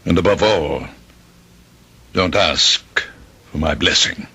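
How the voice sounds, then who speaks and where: An actor playing a stern, principled father in a radio drama, measured and moralizing. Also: sits low, very noisy. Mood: reproachful. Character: stern, high-principled father, quietly reproachful and unbending. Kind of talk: old-time radio drama acting.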